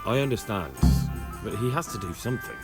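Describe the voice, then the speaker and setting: A man's voice: on the low side, animated, a little fast, music in the background. An actor playing an earnest, respectful young man in a medieval video game, speaking plainly. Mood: concerned.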